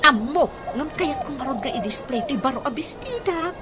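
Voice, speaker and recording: A woman's voice: sits high, animated, normal speed, over loud music. A woman playing a vain, bossy wife and mother in an Ilocano radio comedy, demanding and whiny. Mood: showing off.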